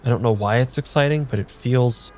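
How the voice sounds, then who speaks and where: An actor playing a nervous, hesitant amnesiac man in an improvised radio drama, talking in a halting, understated way. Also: medium pitch, darkish tone, faint music behind, lightly acted. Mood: hesitant, puzzled. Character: nervous, hesitant amnesiac man having his tarot read.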